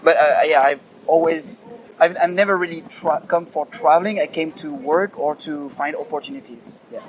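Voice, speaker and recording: Young man, slightly high. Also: a little hiss or hum, low-quality recording. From France. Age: 20s. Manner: measured, hesitant with fillers. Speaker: guest.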